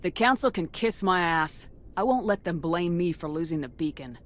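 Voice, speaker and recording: A woman's voice: on the low side, expressive, moderate pace, close to an everyday voice. A woman playing a tough military commander in a space video game, speaking in a blunt, assertive, clipped way. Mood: defiant, angry. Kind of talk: video game dialogue acting.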